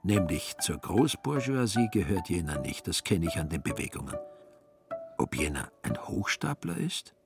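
A man's voice: low voice, very animated, moderate pace, music in the background. A man narrating a literary radio play in a wry storytelling manner. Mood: wry, grumbling. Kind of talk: literary narration with acted inner monologue.

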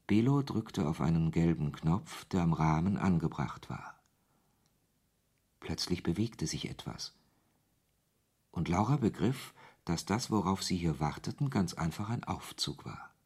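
A man's voice: sits low, expressive, unhurried, darkish tone, heavily acted. A man reading scripted literary narration as the narrator of a radio play. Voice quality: husky.

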